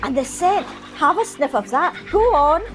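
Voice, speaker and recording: A woman plays an excitable, chatty Scottish flower seller in a radio play. Her voice is very high and swoops about with lively intonation. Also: moderate pace, over music. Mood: excited, gushing. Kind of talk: amateur radio play acting.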